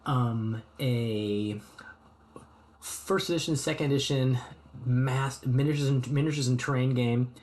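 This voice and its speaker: A man's voice: medium pitch, fairly even intonation, a little slow, darkish tone. Host, American man, chatty and meandering, talking to chat from his hobby room. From United States. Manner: rambling and friendly, many ums and restarts. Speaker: streamer host. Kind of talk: casual chat with live chat viewers.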